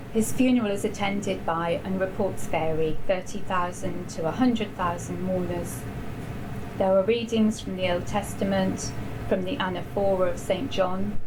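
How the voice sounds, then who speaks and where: A woman giving a measured literary reading at a live event in a bar. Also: fairly low pitch. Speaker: writer reading own story. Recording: live bar event, clearly noisy background.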